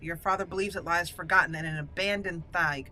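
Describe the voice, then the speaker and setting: A woman's voice: lowish voice, steady tone, a little fast, pushed and bright, rough recording. A woman doing roleplay let's-play commentary, playful and theatrical in the way she talks to viewers. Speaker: gaming channel host.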